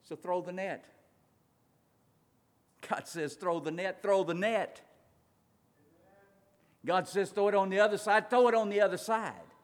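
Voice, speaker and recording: A man preaching a sermon, swinging between loud urgent passages and quiet slow ones, drawing out words for emphasis. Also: high voice, fairly even intonation. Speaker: pastor. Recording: long reverb of a large hall.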